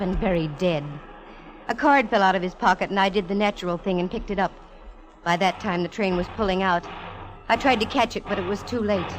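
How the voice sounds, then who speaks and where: A woman's voice: mid-range voice, lightly acted, poor audio. An actress playing a sassy, wisecracking female private eye, with wry, punchy delivery in 1940s radio style. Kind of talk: 1940s radio detective acting and narration. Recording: vintage radio broadcast with music behind.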